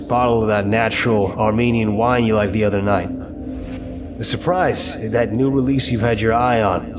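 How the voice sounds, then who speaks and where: Casting: male actor for devoted mafia boss boyfriend, deep voice, courteous and a little hesitant, affectionate, for intimate audio roleplay. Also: natural voice. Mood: playful, eager. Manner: polite, earnest, hesitant with stammers and soft chuckles.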